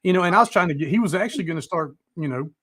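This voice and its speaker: Guy hosting a music show, medium-high for a man, informal n enthusiastic, just chatting. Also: lively intonation, very fast, a bit bright, husky. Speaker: host of a music talk show. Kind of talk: casual chat, interviewing.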